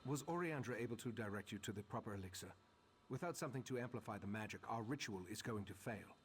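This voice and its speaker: An actor playing an earnest, authoritative master of a mage order in a video game, measured and formal. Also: male voice, fairly low pitch, lively intonation, natural voice. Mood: serious, instructing. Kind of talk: video game character acting.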